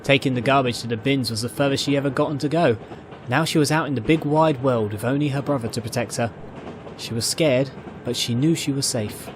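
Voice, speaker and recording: A man's voice: medium pitch, fairly quick, noisy background. A man reading a story aloud as a scripted, dramatic narration. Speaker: narrator, fan fiction reader.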